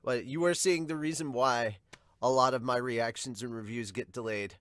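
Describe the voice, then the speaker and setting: A man's voice: mid-range voice, normal speed, a bit bright, dry recording. A congested, sniffly man hosts a casual reaction show in a dry, close recording. He rambles along in a self-deprecating way and swears mildly as he comments. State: congested, sniffly from sinus trouble. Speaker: podcast host and manga reactor.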